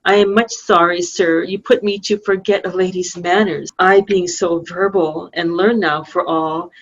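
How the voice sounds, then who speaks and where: A woman reading a proud, sharp-tongued young princess in an amateur Shakespeare play, in measured formal verse, heard over a dull lo-fi video call. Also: fairly low pitch, steady tone. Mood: blunt, exasperated.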